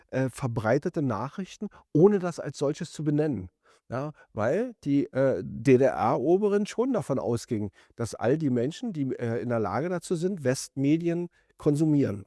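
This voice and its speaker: A man in his fifties from East Germany, reflecting and commenting in an interview, halting yet emphatic as he piles up rephrasings. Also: mid-range voice, dark tone, no echo, clean studio sound. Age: 50s.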